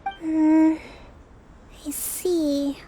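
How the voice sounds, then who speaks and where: A woman voicing a gentle, composed young priestess leader in a video game, polite and measured in manner. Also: sits high, little pitch movement, a little hiss or hum. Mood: resigned. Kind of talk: video game character dialogue.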